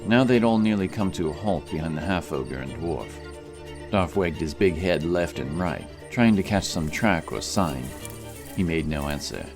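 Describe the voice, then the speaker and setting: A man's voice: low-pitched, lively intonation, normal speed. A man reading scripted fantasy narration as a dramatic audiobook narrator. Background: music.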